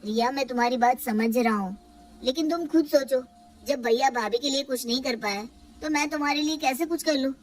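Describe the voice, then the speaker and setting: A woman's voice: high-pitched, brisk. A woman voicing a young husband who reasons patiently but gets exasperated with his mother. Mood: reasoning. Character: dutiful young husband, hesitant and torn.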